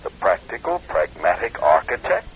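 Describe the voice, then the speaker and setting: An actor playing a blunt, protective ex-husband, a doctor, in a radio drama, giving wry and brisk advice. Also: male voice, deep voice, big pitch swings, a little hiss or hum. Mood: blunt, concerned. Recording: dull lo-fi radio recording.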